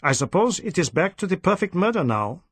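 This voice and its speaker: A man's voice: high voice, sing-song, fairly quick, slightly rough, no room sound, pitched above the performer's own voice, heavily acted. A man voicing a big, resigned Swedish man in an audiobook, polite and hesitant. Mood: sober. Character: big, mild, resigned Swedish male visitor.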